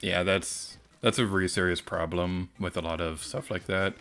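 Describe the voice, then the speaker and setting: A soft-spoken young man streaming and chatting casually, hesitant, with many pauses and ums. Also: deep voice, fairly even intonation, faint music behind.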